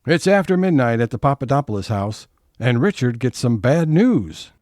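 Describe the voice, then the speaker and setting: A man's voice: medium pitch, very animated, normal speed, darkish tone, no echo, clean studio sound, close to an everyday voice. A man giving scripted scene-setting narration for an audio theatre comedy.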